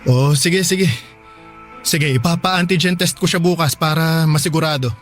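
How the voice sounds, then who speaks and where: A weary, devoted father who calmly reassures his worried wife, as played by a man in a radio drama. His voice sits a little high for a man, over a faint music bed. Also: normal speed, natural voice. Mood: reassuring. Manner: reassuring, weary, plain-spoken. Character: tired, devoted father caring for a sick child.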